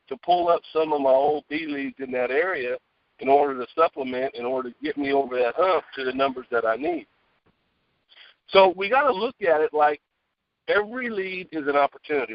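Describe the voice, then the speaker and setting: Man, motivational sales talk, slightly high pitch, very animated, emphatic, dull close phone sound. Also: creaky, no echo. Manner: emphatic, conversational, checks in with "okay?" and "right?". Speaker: guest speaker, sales director. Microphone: phone earpiece. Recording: dull lo-fi phone earpiece call.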